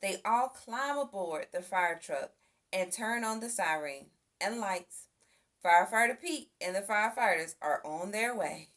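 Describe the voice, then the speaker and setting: Woman reading a children's story, slow and animated. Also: medium pitch. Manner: expressive, acts out sound effects and exclamations. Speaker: storybook reader. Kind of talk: children's read-aloud story narration.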